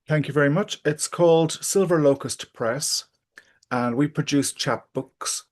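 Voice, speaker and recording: A middle-aged or older man, talking casually and reflectively as an interview guest, pausing often to think aloud. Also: mid-range voice.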